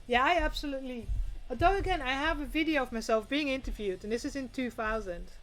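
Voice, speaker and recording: A woman's voice: high voice, fast talker, a bit bright, soft background music, rough recording. Chatty Dutch-accented woman streaming. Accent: Dutch, with some British English. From Netherlands. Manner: chatty and rambling, many restarts, greets chat. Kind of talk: casual livestream chat while sewing.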